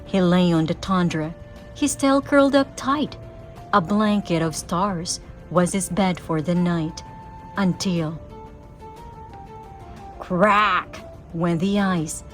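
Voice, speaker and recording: A woman giving an expressive, theatrical read-aloud of a rhyming children's story. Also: medium pitch, very slow, soft background music. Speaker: storybook reader.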